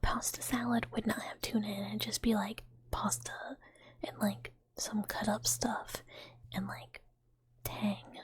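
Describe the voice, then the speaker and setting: A woman's voice: medium pitch, level tone, a little slow, husky, dry recording. A woman whispering through a rambling, playful ASMR chat with viewers, full of fillers. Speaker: ASMR streamer.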